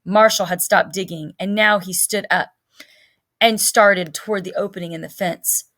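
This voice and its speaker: A woman's voice: medium pitch, steady tone, moderate pace, fairly bright tone. A woman teacher narrating a children's novel as a read-aloud.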